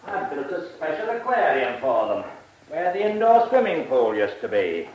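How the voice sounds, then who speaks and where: An actor with a British accent playing an eccentric, brusque lordly press baron in a radio drama, speaking in clipped, mumbling phrases. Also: male voice, fairly high pitch, huge pitch swings, normal speed, a little mellow, poor audio. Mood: proud, absorbed.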